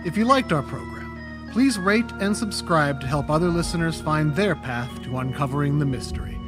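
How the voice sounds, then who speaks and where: A man's voice: fairly high pitch, expressive, moderate pace, over music. A man reading scripted closing credits as a podcast announcer.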